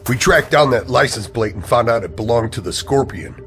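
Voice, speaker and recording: An actor playing a grave, ominous insider who knows of a conspiracy, in a radio drama. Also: male voice, mid-range voice, animated, fairly quick, fairly bright tone, soft background music. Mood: serious. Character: Zeke, grave male insider warning of conspiracy.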